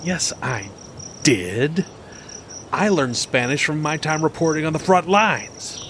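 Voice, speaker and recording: An actor playing a crass, belligerent former TV reporter in a podcast drama, talking in a pompous, dismissive way outdoors. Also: male voice, on the high side, slow pace, fairly bright tone. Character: crass, belligerent right-wing ex-TV reporter, a man, golfing. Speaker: former roving news reporter. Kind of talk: acted podcast drama dialogue. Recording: noisy outdoor ambience with insects.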